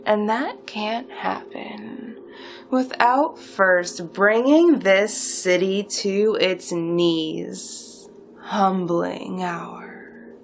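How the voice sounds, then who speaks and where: A woman playing a seductive, smugly delighted supervillain recruiter in a theatrical hypnosis roleplay, with deliberate phrasing that often dips toward whispers. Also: mid-range voice, vocal fry, a little hiss or hum. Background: music.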